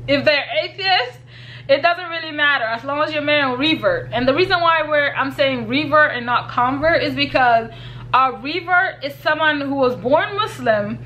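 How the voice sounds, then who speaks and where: Young woman, from Kenya, earnest vlog advice, bright tone, high pitch. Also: normal speed, muffled recording, a little hiss or hum. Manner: earnest, repeats herself, laughs lightly. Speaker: YouTuber.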